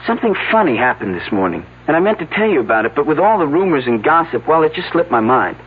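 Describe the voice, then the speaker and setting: An earnest young man, a little flustered and uneasy, in a vintage mystery drama. His voice is fairly high with very animated intonation. It sounds narrow and phone-like. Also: fast, slightly rough. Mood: earnest, recounting. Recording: narrow, slightly noisy phone-like old broadcast audio.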